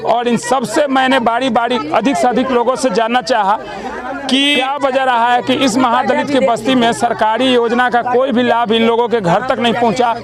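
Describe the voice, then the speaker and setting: A man with a Bihari accent reporting from the field in a village, halting as he searches for words. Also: very high voice, fairly even intonation, crowd noise. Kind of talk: on-the-spot news report and interview. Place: outdoors in a village settlement. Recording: outdoor street, people talking around.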